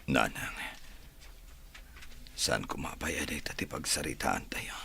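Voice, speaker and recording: A man with an Ilocano accent playing an emotional, plaintive village husband and son in a radio drama, with a gravelly, husky voice. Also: a bass voice, lively intonation, slow pace, sharp tone, heavily acted. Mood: weary, subdued.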